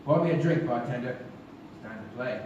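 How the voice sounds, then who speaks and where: A man's voice: medium pitch, fairly quick, highs cut off, heavy background noise, natural voice. Man as a cocky young gambler in echoey hall. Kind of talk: live stage radio-play acting. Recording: echoey theater, distant mic, loud music.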